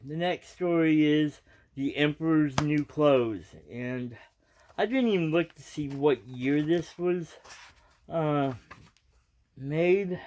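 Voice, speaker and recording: A man reading aloud and giving his reaction as a vlogger, in an informal, halting, rambling way. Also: a little high, a little mellow.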